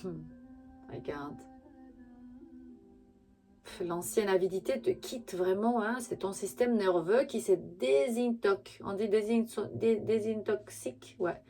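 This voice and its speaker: A woman who is not a native French speaker gives an intuitive tarot reading straight to the listener, halting as she searches for words. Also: medium pitch, warm tone, faint music behind. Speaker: spiritual tarot reader and channel host.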